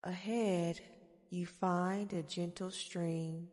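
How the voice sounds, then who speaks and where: A woman guiding a meditation in a soft-spoken ASMR style, with soothing, gentle, deliberate phrasing. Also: on the low side, fairly even intonation. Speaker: guided meditation host. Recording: long reverberant echo.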